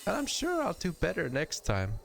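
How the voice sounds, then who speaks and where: Game role: sweet, timid little young woman, played by a man, high and swooping, gushing and hesitant. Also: wildly swooping pitch, normal speed, creaky, faint music behind, full character voice. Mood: cheerful. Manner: hesitant, gushing, exaggerated when scolding.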